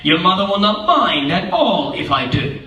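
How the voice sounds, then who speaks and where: A man's voice: sits high, big pitch swings, normal speed, bright, forceful tone, exaggerated voice. A man voicing a showy, boastful male cat that loves tricks, in a bouncy rhyming sing-song. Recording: roomy, distant, noisy live room.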